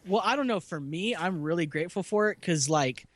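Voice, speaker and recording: Laughing, lively podcast guy. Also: sits high, fairly quick, dry recording. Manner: laughs often, self-deprecating, rambling. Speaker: podcast co-host. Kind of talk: casual chat.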